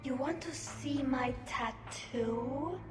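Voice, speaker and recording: A woman playing a giggly, drunk alien woman in a suit in a video game, rambling with slurred, drawn-out words. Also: high-pitched. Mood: tipsy, boastful. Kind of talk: video game character acting. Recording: roomy, game music behind.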